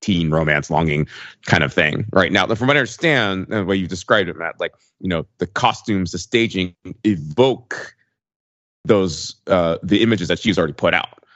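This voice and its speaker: A man's voice: deep voice, normal speed, fairly bright tone, dry recording, muffled recording. A man co-hosting a podcast, talking casually and discursively, with many small conversational hedging phrases. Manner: discursive, peppered with "like" and "you know". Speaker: podcast co-host. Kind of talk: casual chat.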